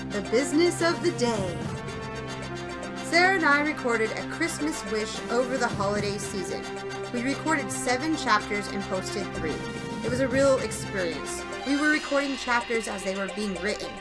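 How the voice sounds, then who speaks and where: A woman with a bright, mid-pitched voice hosts a podcast. She talks in a casual, chatty way and comes across as excitable and effusive. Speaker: podcast host. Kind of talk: casual podcast chat with announcements.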